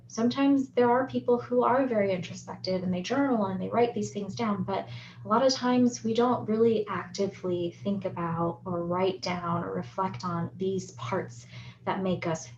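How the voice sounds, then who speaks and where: A woman's voice: medium pitch, background noise. A woman psychologist from the United States explaining self-reflection exercises, often checking in with "right?" as she goes. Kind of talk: educational talk on relationships. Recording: roomy, slightly dull recording.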